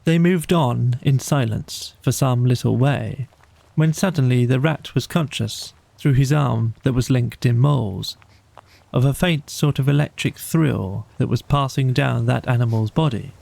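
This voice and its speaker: A man narrating a bedtime audiobook story from a script, with measured, deliberate phrasing. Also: medium pitch, creaky, darkish tone, no echo.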